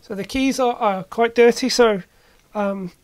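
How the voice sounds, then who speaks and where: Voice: mid-range voice, unhurried, dry recording. A person giving casual hands-on repair commentary in a hesitant way, as if thinking aloud. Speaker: repair video maker. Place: indoor electronics workshop.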